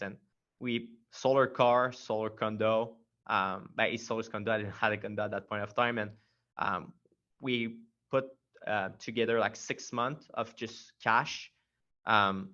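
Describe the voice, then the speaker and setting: A man's voice: on the low side, bright, forceful tone, muffled recording. A man from Quebec with a strong Quebec French accent, a startup founder telling his story in a casual interview, rambling with many fillers and restarts.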